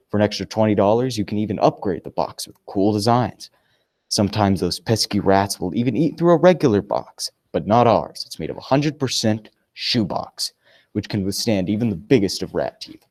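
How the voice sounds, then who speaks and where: A man playing a brash pitchman in a parody radio commercial, salesy and emphatic. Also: a little mellow, close to an everyday voice. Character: brash male pitchman selling an absurd product.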